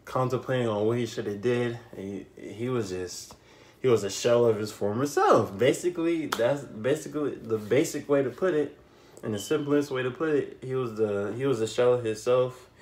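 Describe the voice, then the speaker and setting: A young man giving a casual, unscripted movie recap, telling it in an animated way with repeated phrases and slangy asides. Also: medium pitch, moderate pace, a little hiss or hum. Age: young adult. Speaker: YouTube film reviewer. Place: small bedroom, indoors.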